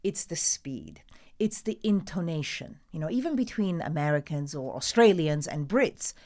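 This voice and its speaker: A woman's voice: deep voice, big pitch swings, normal speed, dark tone. A middle-aged Italian woman, a newsreader guest, talking casually and emphatically with an Italian accent, often laughing. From Italy. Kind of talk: casual interview chat.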